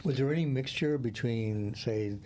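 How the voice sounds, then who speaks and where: A man's voice: mid-range voice, normal speed, warm tone. A man hosting an interview, steering it with encouraging, leading questions. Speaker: interviewer, host. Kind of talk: conversational interview. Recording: faint background noise.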